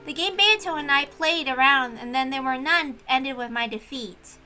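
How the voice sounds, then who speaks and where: Woman as a very high-voiced, bookish young mystery fan. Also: moderate pace, pushed and bright, faint music behind. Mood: reflective. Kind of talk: dramatic reading of a visual novel.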